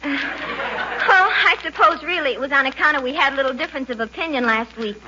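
A high, bright, chatty young girl. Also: quick pace. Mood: indignant, rambling. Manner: breezy, rambling. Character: chatty, naive, man-crazy young working girl. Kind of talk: 1940s radio comedy acting. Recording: muffled, faintly noisy 1940s radio recording.

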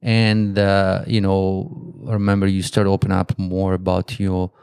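A man with an Italian accent talks in a fairly low voice, rambling along in relaxed, loose sentences. The recording is dry and close, with no room sound. He sounds like a friend chatting on a podcast. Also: measured pace, clean tone, no echo. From Italy. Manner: loose, rambling sentences full of "you know". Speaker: guest interviewer. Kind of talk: casual conversation.

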